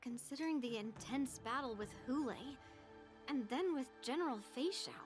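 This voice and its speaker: Woman playing smug young girl, high pitch, boastful, teasing, game dialogue, background music. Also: normal speed, close to an everyday voice. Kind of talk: video game voice acting.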